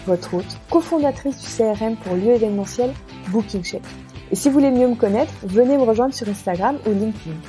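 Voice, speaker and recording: A woman's voice: fairly high pitch, warm tone. A woman with a French accent speaking as the host and interviewer of a podcast. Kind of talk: podcast intro and conversational interviewing.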